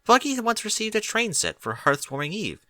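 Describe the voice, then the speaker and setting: A man narrates a storybook tale in a bright, forward voice. His pitch moves up and down in a lively, expressive way, and the sound is close and dry. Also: fairly quick, no echo. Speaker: audiobook narrator reading fan fiction.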